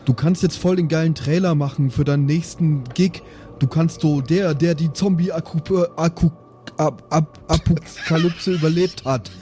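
A man's voice: fairly high pitch, fairly even intonation, moderate pace, dark tone, soft background music. A man playing in an improvised tabletop audio play, bantering casually in slang and stumbling over his words. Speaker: tabletop player.

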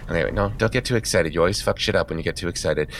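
A man's voice: low-pitched, rapid-fire, a little hiss or hum. An actor playing an anxious, easily flustered man with a jittery, exclamatory delivery in a comic audio drama. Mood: giddy, self-soothing. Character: anxious, flustered married man who talks to himself. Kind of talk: comedic audio drama acting.

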